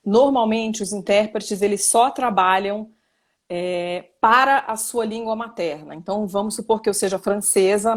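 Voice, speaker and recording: A Brazilian woman who works as a simultaneous interpreter and journalist, explaining her work in a casual live interview with examples and self-corrections. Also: medium pitch. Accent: Brazilian Portuguese. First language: Portuguese. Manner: reflective, explains with examples and restarts.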